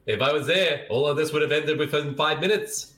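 A man's voice: a little high, brisk, fairly bright tone. A man co-hosting a podcast, riffing on a movie in casual chat, joking with exaggeration and laughing often. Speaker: podcast co-host.

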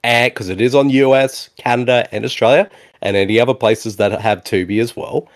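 A man hosting a casual movie commentary podcast, animated, often answering with a repeated "exactly" or "indeed". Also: mid-range voice, a little fast. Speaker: podcast host.

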